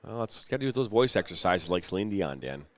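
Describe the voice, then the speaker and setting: A man's voice: deep voice, very animated. Chatty male soccer play-by-play caller. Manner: chatty, joking, quick laughs between calls. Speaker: play-by-play commentator. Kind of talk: live youth soccer commentary. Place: outdoor soccer field broadcast.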